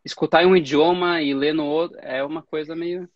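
Thirtysomething guy from brazil on a webcam call, chatty, kinda high voice, dry muffled sound. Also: fairly even intonation, fairly quick. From Brazil, Campinas. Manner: chatty, storytelling, laughs easily. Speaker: host. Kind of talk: casual interview chat. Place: small room at home. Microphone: video call webcam.

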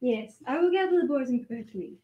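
A woman's voice: sits high, expressive, fast, phone-quality sound. A woman playing a grave lord's wife foretelling doom, solemn and formal, in an amateur radio play. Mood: foreboding. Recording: faint background noise.

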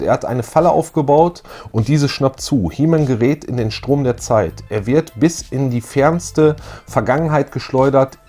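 A man's voice: medium pitch, a little mellow. A middle-aged man co-hosting a retro review show in casual, unscripted chat. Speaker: co-host of retro audio play review show. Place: small indoor room full of posters.

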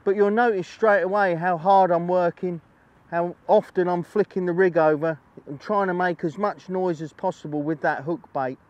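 A man's voice: high voice, fairly even intonation, normal speed, muffled recording. A middle-aged man presents a chatty, instructional fishing demonstration, explaining as he goes and often repeating phrases. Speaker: angling presenter. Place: outdoors on a lakeside bank.

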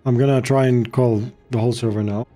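A man's voice: medium pitch, fairly even intonation, brisk, mellow. Guy who speaks arabic natively, streaming a game, chatty and teasing, bit of music in the back. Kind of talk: casual gaming commentary. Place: small indoor room, streaming setup.

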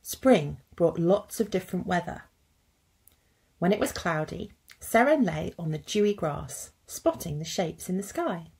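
A woman in her thirties narrating a picture book as a teacher, with deliberate phrasing for young children. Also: fairly low pitch, lively intonation, darkish tone, slightly rough. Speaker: teacher reading a story. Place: indoors at a computer.

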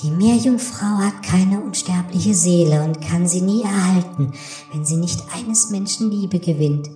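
Ethereal daughter of the air, a female actor: gentle, solemn, very mellow, ghostly echo. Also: on the low side, measured pace. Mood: solemn, consoling. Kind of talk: fairy tale audiobook dialogue. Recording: echoing reverb, soft music behind.

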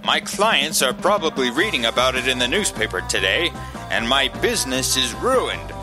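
A man's voice: mid-range voice, sing-song, moderate pace, music in the background, close to an everyday voice, low-quality recording. A man playing an indignant, pompous architect who complains melodramatically in a comedy radio play. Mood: indignant, ranting. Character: indignant, blustering male architect, business owner. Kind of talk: comedic radio theater acting.

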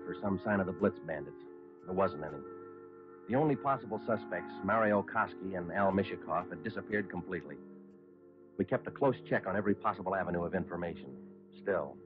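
A man playing a deadpan American police sergeant narrating a radio crime drama. His low voice moves briskly and clipped, matter-of-fact to the end. It sounds like a muffled old broadcast with music. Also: natural voice. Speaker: detective narrator.

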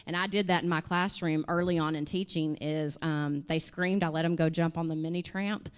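A woman, speaking through a handheld mic in a hotel conference room. She talks in an animated, chatty way, full of "you know" and "I mean". Her voice sits a little low. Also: moderate pace, smooth. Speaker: conference presenter. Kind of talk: workshop talk with audience questions. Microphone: handheld microphone.

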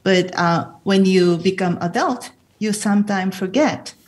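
Husky-voiced woman interpreting. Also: mid-range voice, slow pace. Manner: careful, filler-laden delivery. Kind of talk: consecutive interpreting, English and Japanese.

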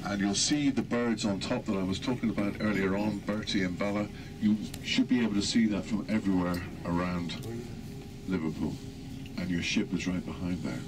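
Man, deep voice, tour guide commentary, measured and emphatic, recorded on a moving bus, loud engine and road noise. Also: fairly even intonation. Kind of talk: narrated sightseeing tour commentary. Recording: loud vehicle noise throughout.

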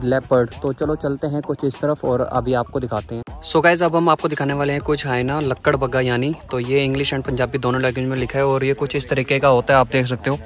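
A man vlogging a casual walking tour of a zoo, chatty and enthusiastic, often exclaiming, recorded outdoors on a handheld camera. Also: medium pitch. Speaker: travel vlogger.

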